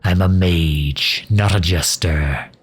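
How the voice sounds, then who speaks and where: A man playing a sardonic, loyal fantasy mage advisor in an intimate ASMR roleplay, dry and grumbling, with a husky, breathy delivery. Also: deep voice, slow pace, exaggerated voice. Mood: incredulous, flustered. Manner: dry, grumbling, sharp-tongued, with hesitant breaks.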